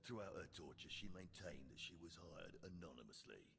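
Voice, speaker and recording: An actor playing a gruff, sardonic jailer in a video game, with a blunt, folksy and menacing way of talking. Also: male voice, deep voice, expressive, fairly quick, background noise. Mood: matter-of-fact. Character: gruff, sardonic male prison jailer of an evil empire. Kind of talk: video game character acting. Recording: music behind the voice.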